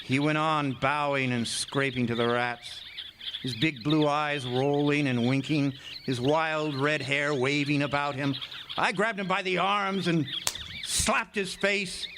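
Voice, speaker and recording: Haunted male lighthouse keeper played by a stage actor, slightly high voice, intense radio-play storytelling, birdsong and noise. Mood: horror struck. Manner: intense, deliberate, suspenseful delivery. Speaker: narrator and lead actor. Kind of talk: dramatic radio play narration. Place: outdoor amphitheater stage. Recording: noisy outdoor stage recording with birdsong.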